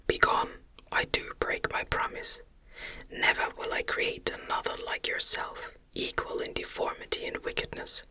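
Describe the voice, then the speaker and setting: A narrator voicing a defiant, resolute man facing his monstrous creation in a gothic story, with firm, indignant, formal speech in a breathy ASMR whisper. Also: a little slow, sharp tone.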